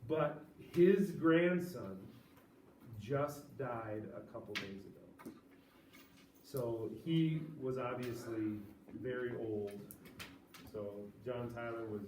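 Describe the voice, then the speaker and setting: Man teaching a class from across the room. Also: medium pitch. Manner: casual, chatty storytelling to students. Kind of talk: classroom lecture. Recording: echoey classroom from far off, noisy.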